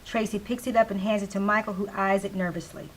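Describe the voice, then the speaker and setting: A woman's voice: medium pitch, fairly even intonation, quick pace, low-quality recording. A woman with a mid-range voice narrates stage directions from a script. Faint hiss sits behind her in the recording.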